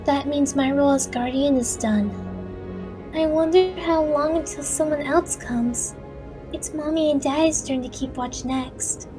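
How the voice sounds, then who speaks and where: Actress for wistful little girl guardian in anime fan dub: high voice, slow theatrical delivery, music underneath. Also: rough recording. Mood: resigned, wistful. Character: young girl guardian, wistful, devoted daughter. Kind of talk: dramatic anime-style fan dub acting.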